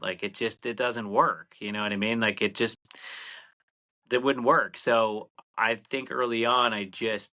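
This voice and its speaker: A man in his late thirties from Massachusetts, chatting casually as a podcast guest with lots of "like" fillers and lively quoted asides. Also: fairly low pitch. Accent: slight Boston. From Massachusetts (Cape Cod). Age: 30s. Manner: rambling, heavy filler "like", animated quotes. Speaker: radio programmer, podcast guest.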